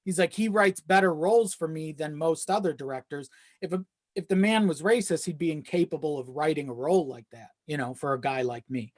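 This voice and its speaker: A man's voice: on the high side, fairly quick, few pauses. A man speaking as a podcast guest in casual, reflective chat, thinking aloud as he goes. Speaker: podcast guest, audio drama creator.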